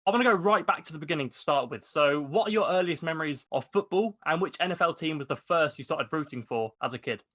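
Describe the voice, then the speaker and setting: Male sports podcast host, quick upbeat delivery, slightly high voice, dry close sound. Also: expressive, a bit bright, dry recording. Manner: quick, upbeat delivery with long question setups. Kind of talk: scripted intro, then interview questions.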